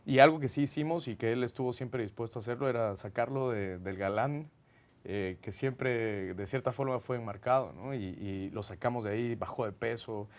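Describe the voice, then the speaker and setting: A man's voice: mid-range voice, normal speed. A man from Guatemala with a Guatemalan accent, a film director answering interview questions in an earnest, reflective way with frequent hesitations. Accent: Guatemalan Spanish.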